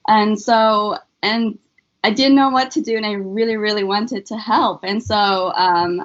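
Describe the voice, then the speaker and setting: A woman's voice: medium pitch, muffled recording. Earnest young woman from Afghanistan. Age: young adult. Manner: earnest, many um fillers. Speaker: student guest. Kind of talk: interview answers, personal story.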